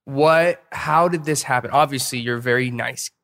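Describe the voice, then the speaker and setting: A man's voice: medium pitch, moderate pace, a bit bright. Playful, joking male interviewer. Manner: playful, joking, chatty questioner. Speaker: interviewer, host. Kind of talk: casual interview chat.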